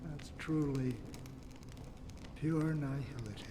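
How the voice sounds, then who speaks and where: A man playing a world-weary, wryly sympathetic wanderer in a video game, talking in a halting, musing way. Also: on the high side, background noise. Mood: musing, grave. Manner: unhurried, halting, musing, wry. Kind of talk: dramatic video game dialogue. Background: music.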